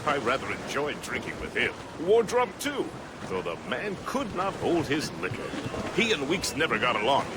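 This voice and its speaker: An actor playing a loyal, jovial, talkative first mate in a video game. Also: male voice, medium pitch, wildly swooping pitch, normal speed, heavy background noise. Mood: genial, musing. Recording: game music and waves behind.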